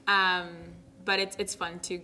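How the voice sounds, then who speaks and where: A young woman from Ghana, a college tennis captain, answering interview questions in a soft, casual way with many ums, sometimes dropping to a near whisper. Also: mid-range voice, little pitch movement, a little slow. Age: young adult. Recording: noisy background.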